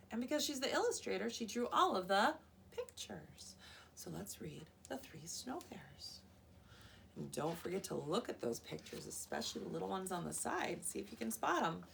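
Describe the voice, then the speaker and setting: A woman teacher reading a picture book to young children in an expressive way, often prompting them with questions. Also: mid-range voice, vocal fry, distant mic, slight background noise. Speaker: preschool teacher.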